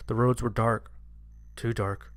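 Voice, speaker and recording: A man's voice: medium pitch, flat delivery, slow, dry recording, studio quality. A man reading a scripted first-person horror story as its narrator.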